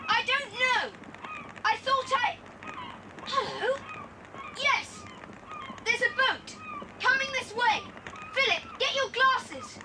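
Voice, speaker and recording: A performer playing an excitable, watchful boy lookout in a children's adventure radio play. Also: very high voice, takes it slowly, long pauses, exaggerated voice. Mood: alert. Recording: very noisy old cassette transfer.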